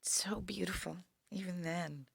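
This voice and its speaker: An actress playing an older, dying cancer patient in an audio drama, weary, frank and dryly resigned. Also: female voice, lowish voice, unhurried, vocal fry, breathy edge, dry recording, studio quality, full character voice. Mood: tender, wistful.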